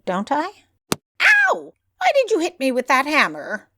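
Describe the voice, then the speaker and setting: A grand, scatterbrained lady editor in an audio comedy. She is haughty and dreamy, drawing out her words with sweeping pitch in a very dry recording. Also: wildly swooping pitch, measured pace, fairly bright tone, no echo, heavily acted. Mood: imperious, indignant. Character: imperious, muddled editor-in-chief who has forgotten her name. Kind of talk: comedic audio drama acting.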